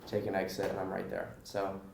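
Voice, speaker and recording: A man's voice: deep voice, monotone, brisk, gravelly, a little mellow. A man with an American accent interviewing conversationally in an affirming, encouraging way, recorded in an echoing room with some background noise. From California. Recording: roomy echo with faint background noise.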